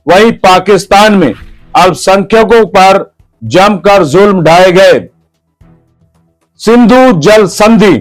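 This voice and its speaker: High-pitched man, slow news narration. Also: poor audio. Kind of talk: scripted news explainer narration.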